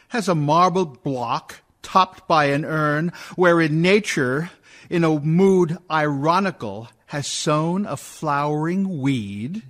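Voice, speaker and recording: A man's voice: fairly high pitch, a bit bright. A man acting a pompous dead judge, indignant and proud of his learning, in a rhetorical verse monologue for radio.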